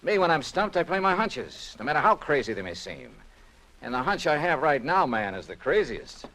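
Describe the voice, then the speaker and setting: A man's voice: fairly high pitch, big pitch swings, moderate pace, bright, forceful tone, full character voice. An American man acting a shrewd, brash investigator in a 1950s radio detective drama, with a wry, clipped, hard-boiled manner. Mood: wry, confident. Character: shrewd, brash male insurance investigator narrating his case. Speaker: lead actor and narrator of a detective serial. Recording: noisy vintage 1950s radio recording.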